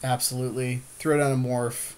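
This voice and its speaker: A man's voice: mid-range voice, flat delivery, normal speed, a little mellow, clear, resonant tone. A man co-hosting casual gameplay commentary, agreeing readily with playful, slangy banter. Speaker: podcast co-host playing an online card game.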